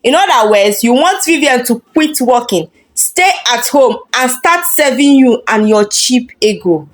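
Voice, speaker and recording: A woman's voice: a little high, lively intonation, a little slow. A woman voicing a blunt male friend in a story, lecturing reproachfully. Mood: scolding. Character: blunt, scolding man, loyal friend to a wronged woman.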